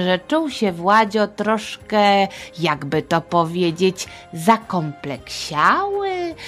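A woman's voice: mid-range voice, a bit bright. A middle-aged woman telling history to children in a chatty, playful, theatrical way, with asides. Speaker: storyteller, podcast host.

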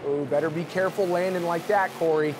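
A man doing excitable color commentary at a motorsport show, urging the drivers on. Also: fairly high pitch, fairly quick, background noise. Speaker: sports commentator. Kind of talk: arena sports commentary.

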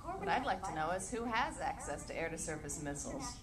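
Low-voiced, inquisitive cartoon woman. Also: very animated, a little fast. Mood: serious. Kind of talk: cartoon voice acting. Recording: cartoon playback with loud music underneath.

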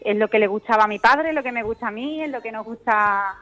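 A woman's voice: fairly high pitch, fairly quick, a bit bright. Hesitant Andalusian woman singer. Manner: hesitant, many fillers and restarts. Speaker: singer, interview guest. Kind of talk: casual phone-in interview chat.

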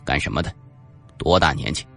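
A man's voice: very deep voice, lively intonation, fairly quick, creaky, bright, forceful tone, soft background music, pitched below the performer's own voice, exaggerated voice. A man voicing a cold, curt, hostile policeman in his forties in an audiobook.

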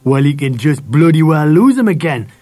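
A storybook father, gruff and full of scorn, acted out by a male narrator. His pitch rides high and swings widely as he scolds. The sound is close and dry. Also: lively intonation, a little fast, a little mellow, dry recording. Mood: angry, scornful. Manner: gruff, scolding, colloquial swearing. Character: angry, scarred working-class father, blustering and scornful.

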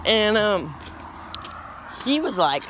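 A teenage boy vlogging on a walk down a quiet street, joking and rambling into a phone held at arm's length. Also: high voice, big pitch swings, slow, noisy background. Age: teens. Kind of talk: goofy walking vlog.

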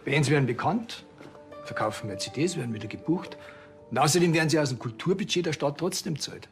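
Man acting official, higher pitch, hesitant, uneasy, TV drama, faint music. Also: fast, natural voice. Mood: guarded, uneasy. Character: uneasy, hesitant male town culture official.

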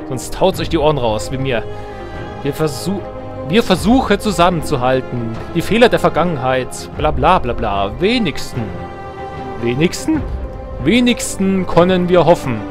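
A man hosts a let's play over clear game music. His pitch swings in a lively way as he moves between casual commentary, jokey asides and reading on-screen text. He sounds self-ironic and playful, at an ordinary male pitch.

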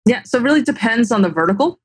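A woman's voice: fairly high pitch, animated, very fast, slightly rough, no room sound, low-quality recording. A woman in her thirties with a New Jersey accent, a guest on a video-call interview, explaining confidently and tagging her points with questions. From New Jersey, USA. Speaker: podcast guest, tech founder. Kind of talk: casual interview chat. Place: indoor room at home.

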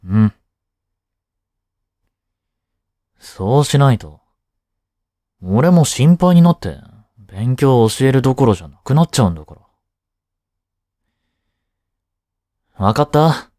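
A man plays a young male tutor in an intimate audio drama. His voice is mid-pitched, mellow and soft, recorded dry. He takes his time, soothing and gently scolding with a teasing, caring manner. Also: no echo, natural voice. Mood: tender, teasing. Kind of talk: intimate one-sided voice drama aimed at women.

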